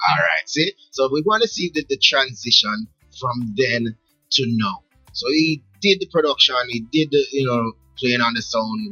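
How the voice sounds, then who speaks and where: A man hosting a radio interview, lively and quick to laugh, echoing back his guest's answers. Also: mid-range voice, breathy edge, muffled recording. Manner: animated, laughs often, repeats guest's words. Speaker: radio show host.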